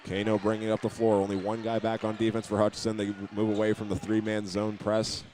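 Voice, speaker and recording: A man's voice: fairly low pitch, monotone, fairly quick, a little mellow. A man giving live play-by-play commentary of a basketball game in short, plain descriptive phrases. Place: indoor high school basketball game. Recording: live gym recording with background noise.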